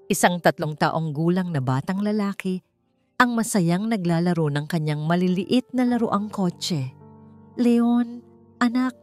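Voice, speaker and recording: A woman's voice: low-pitched, a little mellow. A woman narrating a romance story in a scripted, dramatic storytelling style. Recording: music under the voice. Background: background music.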